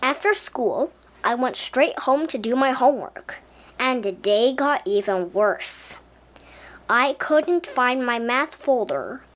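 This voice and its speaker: Lively, slow-paced woman reading a storybook. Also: on the high side. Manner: deliberate, expressive. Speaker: children's book reader. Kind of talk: expressive scripted storybook narration as a young boy.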